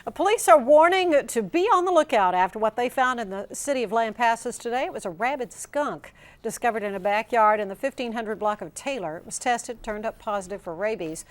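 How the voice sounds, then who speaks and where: A female anchor reads a scripted television newscast in a studio. Her pitch is slightly higher than the average woman's. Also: expressive, fairly quick. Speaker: news anchor. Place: TV news studio.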